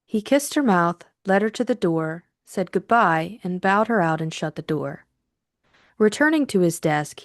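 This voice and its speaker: A woman reading literary fiction as an audiobook narrator, measured and deliberate in her storytelling. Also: fairly low pitch, no room sound, clean studio sound.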